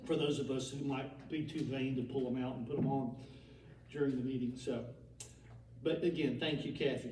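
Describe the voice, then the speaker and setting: A man's voice: mid-range voice, noisy background. A man hosting an annual meeting, giving a formal address with some fillers and light humor. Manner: speaks with fillers and mild humor. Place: large meeting room. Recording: echoey room, distant mic, faint ambience.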